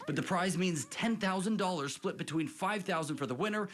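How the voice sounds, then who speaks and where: A woman's voice: lowish voice, brisk. An American woman working as a news reporter, reading a scripted feature story.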